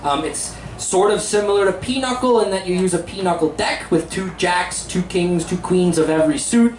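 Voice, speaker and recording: A man's voice: high voice, normal speed, reverberant, a little hiss or hum. A man hosting a live festival talk in a seminar tent, chatty and joking as he plugs his videos. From Pennsylvania, USA. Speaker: host and teacher.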